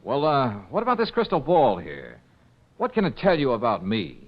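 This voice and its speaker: Sceptical gumshoe, American: quick-witted, mocking, expressive mid-range voice, old radio drama recording slightly muffled. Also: male voice, medium pitch. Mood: probing. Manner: breezy, sardonic, slangy. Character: wisecracking, sceptical American male private investigator.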